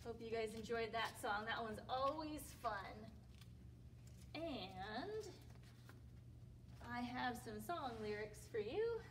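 A woman's voice: on the high side, expressive, slow, long pauses. A woman in her thirties hosting a sing-along storytime in a library, speaking directly and encouragingly to young children. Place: library room among bookshelves, indoors. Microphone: camera mic a few metres away. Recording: distant mic, room noise.

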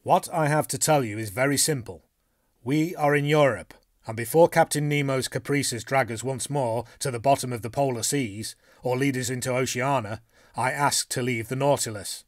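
A man's voice: medium pitch, moderate pace, no room sound, clean studio sound, close to an everyday voice. A man voicing a blunt, hearty harpooner. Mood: insistent. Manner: forceful, emphatic. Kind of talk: audiobook dialogue reading.

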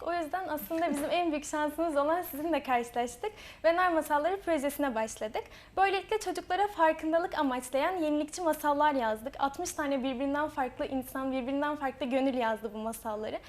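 A young woman, a student author, answering interview questions earnestly, pausing often to find her words. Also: high-pitched. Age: young adult. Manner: earnest, quick, with frequent hesitations.

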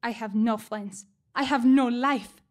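A woman's voice: on the high side, unhurried, close to an everyday voice. An actress playing a lonely, earnest young wife and mother in an audio drama, speaking with feeling. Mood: hurt, frustrated.

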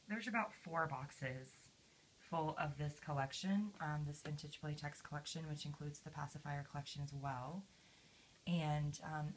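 A woman with a low voice, hoarse from yelling the day before, talks through her collection in a relaxed show-and-tell, pausing with soft ums. She sounds close to a handheld camera mic at home, with a faint hum of room ambience behind her. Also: poor audio. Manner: gentle, with many ums. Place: indoors at home, camera over a table. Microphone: handheld camera mic, speaker off-camera.

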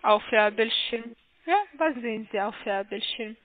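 High-voiced woman with foreign accent on the phone. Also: a crowd in the background. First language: not German. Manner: halting, insistent, repeats questions. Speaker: call-centre scam caller. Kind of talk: scripted phone sales instructions. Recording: muffled narrowband phone line.

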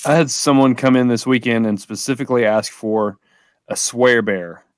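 A man's voice: mid-range voice, moderate pace, no echo. A man with a mid-range voice talks casually in a group podcast about collectible toys. The sound is dry, with little room on it, as on a video call. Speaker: toy shop owner and podcast co-host.

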